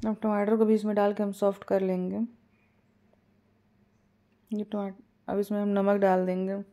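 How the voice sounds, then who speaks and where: A woman calmly talking through a home cooking recipe step by step, with small hesitations. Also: mid-range voice, normal speed. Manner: calm step-by-step instructions with small fillers. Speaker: cooking channel host. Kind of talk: home cooking tutorial voice-over.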